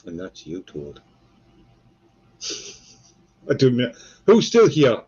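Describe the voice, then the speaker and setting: A man with a Geordie accent, co-hosting a relaxed live stream and chatting casually, swearing freely and rambling between jokes. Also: medium pitch, moderate pace, slightly rough, dull audio. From North East England.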